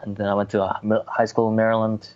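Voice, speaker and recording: A man's voice: on the low side, completely flat delivery, fast talker. A Korean-born man, a native Korean speaker, speaking English as a guest, hesitant and polite. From South Korea. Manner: hesitant, polite, lots of fillers. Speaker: baseball writer, guest. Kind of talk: casual interview chat. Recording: dull lo-fi remote line.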